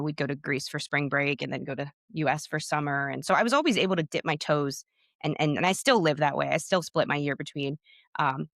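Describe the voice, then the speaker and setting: A woman's voice: deep voice, fairly bright tone, no echo, studio quality. A woman in her thirties with a Pennsylvania American accent, talking casually as a guest author and podcaster, chatty and full of fillers. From United States, Pennsylvania. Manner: chatty and talkative, full of "like" and "you know". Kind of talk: casual interview chat.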